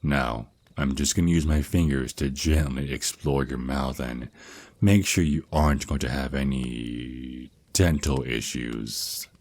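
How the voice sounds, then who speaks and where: A man's voice: rumbling low, unhurried, a little mellow, no echo. A man voicing an affectionate, protective vampire naga boyfriend in an intimate ASMR roleplay, mentoring his partner with slightly formal wording and small stammers. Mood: coaxing, caring. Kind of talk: ASMR roleplay, intimate one-sided scripted dialogue.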